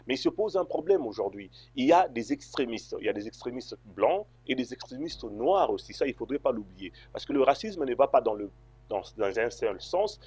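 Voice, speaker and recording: A man's voice: mid-range voice, a little mellow, slightly rough, dry recording. A man from Congo answering interview questions, hesitant, with many fillers and restarts. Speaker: interview guest.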